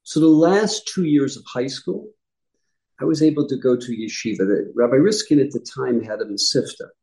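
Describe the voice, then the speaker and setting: Mellow-voiced man recounting family history. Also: mid-range voice, moderate pace, vocal fry, poor audio. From upstate New York. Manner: explanatory, with frequent self-corrections and asides. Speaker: guest rabbi. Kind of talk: conversational interview answers.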